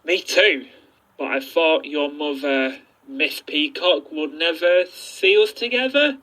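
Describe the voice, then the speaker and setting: A man playing a polite, halting archaeology professor and explorer in a fan audio drama. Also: fairly high pitch, pushed and bright, low-quality recording.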